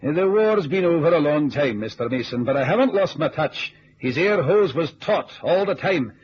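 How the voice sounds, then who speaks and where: Higher-voiced Scottish businessman played by an actor, vintage radio. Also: expressive, moderate pace, natural voice. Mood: grave, insistent. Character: earnest Scottish ex-navy businessman recounting deadly lake dives. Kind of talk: old-time radio drama acting. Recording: muffled vintage radio recording with hiss.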